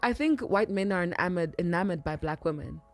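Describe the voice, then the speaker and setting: A woman chatting on a podcast, telling a story in an animated, gushing way. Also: lowish voice, fairly quick, soft background music. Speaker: podcast guest. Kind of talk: casual podcast chat.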